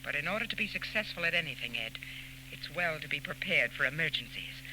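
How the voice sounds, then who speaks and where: A woman's voice: deep voice, animated, fast talker. An actress playing a composed, condescending society woman who secretly runs robberies, in 1940s radio style. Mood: calculating. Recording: scratchy 1940s radio recording with hum.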